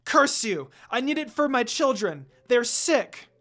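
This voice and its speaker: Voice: mid-range voice, big pitch swings, unhurried, sharp tone, pitched above the performer's own voice. A narrator voicing a proud, courteous female demon dog, a protective mother with formal phrasing. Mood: desperate, furious.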